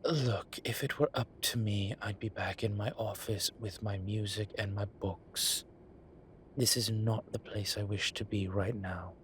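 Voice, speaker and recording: Slow, low-voiced weary incubus professor, played by a man. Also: fairly even intonation, fairly bright tone, a little hiss or hum. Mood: wry, weary. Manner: halting, sighing, dry, slightly flustered. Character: ancient male incubus demon professor, bookish, exasperated, dryly teasing. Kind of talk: audio roleplay acting, intimate one-sided dialogue.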